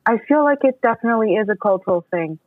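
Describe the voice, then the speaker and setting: Podcast guest: American male, higher-pitched voice, agreeable and explanatory, dry phone-quality audio. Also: lively intonation, fast talker, crowd noise. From New York City, United States. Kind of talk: casual interview conversation.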